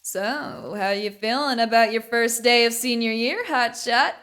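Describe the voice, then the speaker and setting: A woman playing a middle-aged ewe, a mellow hippie mother who teases in a laid-back way, in an audio drama. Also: on the high side, measured pace. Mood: teasing. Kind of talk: audio drama character acting.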